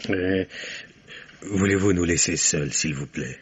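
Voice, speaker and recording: An actor playing a composed, formal police commissioner who questions politely in a 1950s French radio mystery. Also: male voice, low-pitched, slow pace, slightly rough, full character voice. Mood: courteous, probing. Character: composed, courteous, probing male police commissioner. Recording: dull vintage radio recording.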